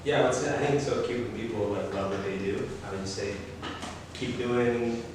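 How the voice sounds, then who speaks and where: A man's voice: medium pitch, a little fast. A man talking casually and reflectively as an actor, hesitantly searching for his words. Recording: distant, echoey room with clear noise.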